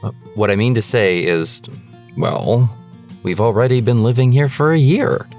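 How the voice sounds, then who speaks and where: A man's voice: medium pitch, moderate pace, darkish tone, soft background music, lightly acted. A man playing a practical, dismissive husband and father in a haunted-house audio drama. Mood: doubtful.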